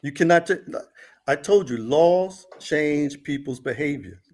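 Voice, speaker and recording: A man's voice: on the high side, animated, a little slow, a little mellow. A man from the United States joining a podcast debate remotely, lecturing and insistent. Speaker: panel guest.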